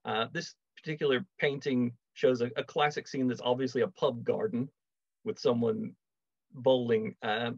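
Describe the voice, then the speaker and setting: A middle-aged man giving a measured online lecture on a video call, with wry asides. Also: mid-range voice, husky, no room sound. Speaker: lecturer, archaeology project manager. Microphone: video call webcam. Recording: dull, dry video call audio.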